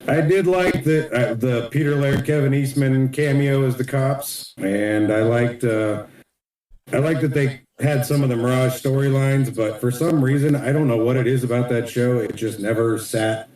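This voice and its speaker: A middle-aged man in casual podcast chat as a guest artist, talking in a relaxed, rambling way. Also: medium pitch, moderate pace, roomy sound, low-quality recording.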